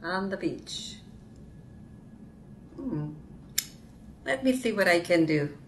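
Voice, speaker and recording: Woman playing a slow-paced polite estate agent. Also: fairly low pitch, expressive, a little hiss or hum. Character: polite middle-aged female real estate agent. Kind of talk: comedy sketch acting.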